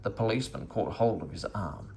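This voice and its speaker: A man reading a children's story aloud as an expressive narrator. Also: fairly low pitch, a little fast. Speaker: storybook reader.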